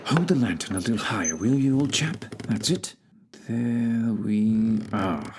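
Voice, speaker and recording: An actor playing a shrewd, commanding gentleman detective in an audio theatre drama, with a deliberate, authoritative manner and old-fashioned phrasing. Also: fairly low pitch, slight background noise. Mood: brisk, investigative.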